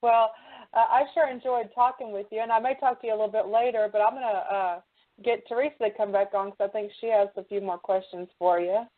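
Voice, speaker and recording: A woman's voice: medium pitch, steady tone, quick pace, phone-quality sound. A woman hosting an interview, talking in an informal, chatty style. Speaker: host interviewer. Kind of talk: casual chat interview.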